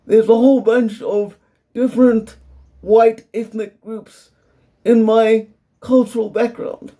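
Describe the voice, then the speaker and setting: A young man speaks with a South African English accent in a personal video monologue. His voice sits high yet sounds dark and mellow. He talks slowly and earnestly, laying out his points with care. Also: little pitch movement. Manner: measured and earnest, carefully explaining. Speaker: vlogger.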